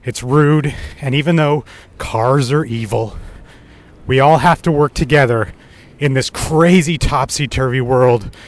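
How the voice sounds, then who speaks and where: Man hosting a podcast, mid-pitched. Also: a little slow. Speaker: podcast co-host. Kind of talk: conversational podcast talk and opinion monologue.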